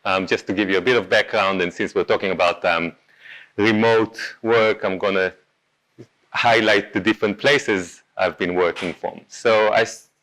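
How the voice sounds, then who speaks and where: A man's voice: fairly low pitch, steady tone, a bit bright, rough recording. Israeli man giving a casual talk. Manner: casual, halting, many ums and self-corrections. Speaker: meetup speaker. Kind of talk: conference talk.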